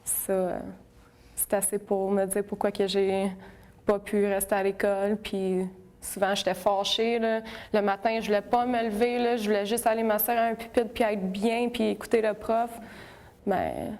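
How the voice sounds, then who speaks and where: Flat-toned young Québécois woman. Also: medium pitch, normal speed. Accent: Québécois French. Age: 20s. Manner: frank, plain-spoken. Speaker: former school dropout. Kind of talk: interview testimony.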